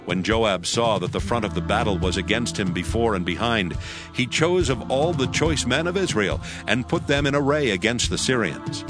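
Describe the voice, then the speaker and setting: A man narrates scripture in a measured, solemn way with a fairly low voice. A clear music bed runs beneath his reading throughout. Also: lively intonation.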